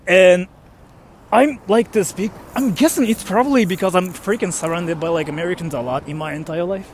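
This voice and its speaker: A man's voice: sits high, brisk, a little hiss or hum. A young man from Japan, a native Japanese speaker, chatting casually on a livestream and reading out viewers' comments. He rambles in a chatty way, with lots of fillers and an emphatic "freaking". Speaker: streamer reading chat comments.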